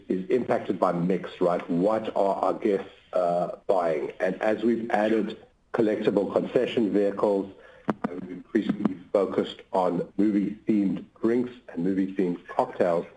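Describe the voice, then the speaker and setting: A man with a lowish, very soft-edged and mellow voice, explaining results as a finance chief on an investor call. He is measured and methodical, itemizing each factor. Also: steady tone, slight background noise, rough recording. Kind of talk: corporate earnings call explanation.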